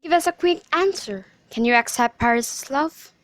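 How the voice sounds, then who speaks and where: A woman's voice: sits high, moderate pace, no room sound, close to an everyday voice. A woman in an amateur radio drama, playing a commanding noble mother who speaks insistently. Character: commanding noble mother pressing her daughter.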